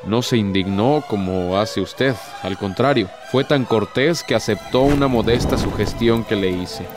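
A man acting a suave, low-voiced ancient Devil. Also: measured pace, close to an everyday voice. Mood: sly, seductive. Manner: formal, courtly, insinuating speech. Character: ancient courtly Devil, suave, sly and despairing male tempter. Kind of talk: theatrical radio drama acting. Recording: music behind the voice.

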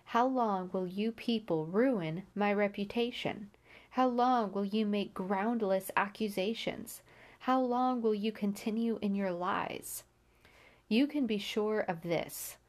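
A woman's voice: medium pitch, darkish tone. A woman with a stuffy nose from allergies, hosting a Bible podcast, reading scripture aloud and then reflecting on it earnestly, with frequent restarts.

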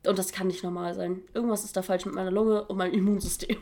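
A woman's voice: mid-range voice, flat delivery, fast talker, vocal fry, mellow, slight background noise. A young woman in her twenties co-hosting a casual chat podcast, slangy and chatty, laughing often, talking while she has a cold. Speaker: podcast co-host.

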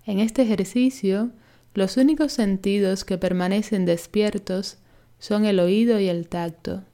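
A woman leading a guided relaxation meditation as a yoga instructor, calm and soothing, giving gentle instructions. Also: medium pitch, unhurried.

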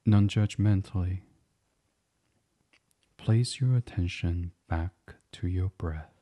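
A man guiding a bedtime meditation as a podcast host, speaking softly and soothingly in an unhurried, hushed, breathy way. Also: low voice. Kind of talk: guided meditation, gentle bedtime reading.

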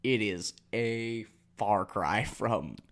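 A man's voice: lowish voice, very slow, a bit bright. A young man co-hosting a casual podcast, rambling and joking with many "likes.". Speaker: podcast co-host.

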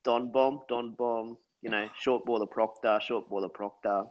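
A man's voice: medium pitch, steady tone, moderate pace. A man co-hosting a podcast, talking keenly and repeating phrases as he makes his point. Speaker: podcast co-host. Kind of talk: casual podcast chat. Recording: dull lo-fi sound.

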